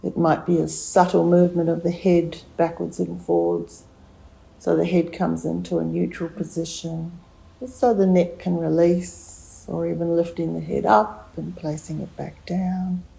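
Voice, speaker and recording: A woman teacher guides a relaxation meditation in a mellow, slightly low voice. Her delivery is very slow and gentle, with long pauses between short instructions. A creaky edge runs through her soothing tone. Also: low-quality recording.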